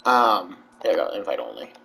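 A man's voice: low voice, big pitch swings, a little fast, creaky, sharp tone, poor audio. A man streaming a video game, chatting casually and teasing his friend. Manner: playful, teasing, bursts of silly voices. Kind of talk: casual gaming chat with a friend.

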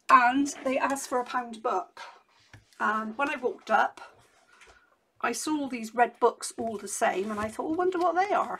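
A middle-aged woman, hoarse from losing her voice, chatting casually and rambling through a craft haul at her desk at home. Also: sits high, a bit bright, slight background noise. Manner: rambling and chatty, many restarts. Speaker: crafting vlogger.

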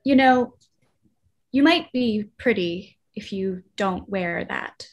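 Woman as catty college girl, medium pitch, casual and condescending. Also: lively intonation, slow, muffled recording. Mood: breezy condescension. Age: young adult. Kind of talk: table read acting. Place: indoor room, video call. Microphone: video call, computer mic.

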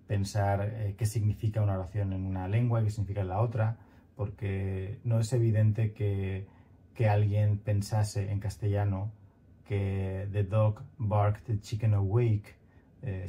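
A man in his thirties teaches grammar in a recorded lecture, thinking aloud with frequent fillers and self-corrections. His low, very dark voice comes through a slightly muffled headset recording with a faint hiss behind it. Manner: thoughtful, halting, many fillers and restarts. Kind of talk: recorded online lecture with slides. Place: small room at home, bookshelf behind.

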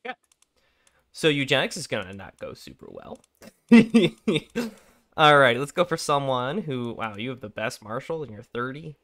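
A young man with a raspy voice from being sick, casually commenting on a strategy game, thinking aloud and clearing his throat often. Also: mid-range voice, sing-song, normal speed, fairly bright tone. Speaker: gameplay commentator. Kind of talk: casual let's play commentary.

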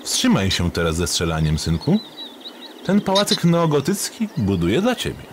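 A man's voice: mid-range voice, wildly swooping pitch, moderate pace, background noise. A man playing a patient nobleman father in a comic-book radio play. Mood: patient, chiding. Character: patient adult father, storytelling country nobleman.

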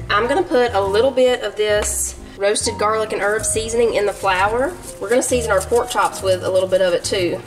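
A woman with a Southern US accent, chatting casually in a folksy way as she narrates a home cooking vlog. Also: mid-range voice. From Southern United States. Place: home kitchen.

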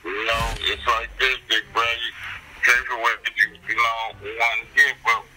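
A man's voice: medium pitch, flat delivery, measured pace, sharp tone, slightly rough. A man selling a van in a casual phone conversation, slangy and blunt, heard over a telephone line. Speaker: private van seller. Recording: phone call with music behind.

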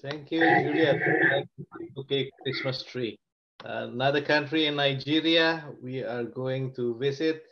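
A man's voice: mid-range voice, lo-fi sound. A man moderating the event and introducing the next speaker.

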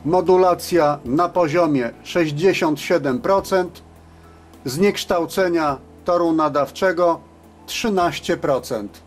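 Slow, measured man narrating a technical test. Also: a little high, soft background music. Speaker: reviewer of CB radios.

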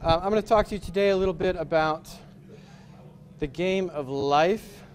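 A man from New England giving a conference talk with slides, explaining step by step with frequent pauses and restarts. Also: high-pitched. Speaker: conference speaker. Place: hotel conference room with projector screen.

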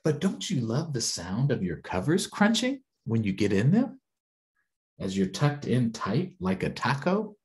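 An American man voicing a gentle, reassuring heart spirit from a picture book, slow, soothing and lilting. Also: mid-range voice, huge pitch swings, voice pushed up.

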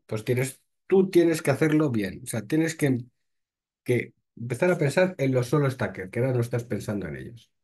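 A man's voice: mid-range voice, darkish tone, slightly rough. A man, hosting and reading crypto news aloud, then breaking off with short blunt remarks. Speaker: host.

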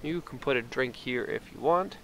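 A middle-aged man giving a casual, unscripted car walkaround, relaxed and thinking aloud, recorded on a handheld camera. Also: mid-range voice, moderate pace. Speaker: car exporter presenting a vehicle. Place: outdoors by a parked car and inside it. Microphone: handheld camera microphone. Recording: outdoor handheld camera, clear ambient noise.

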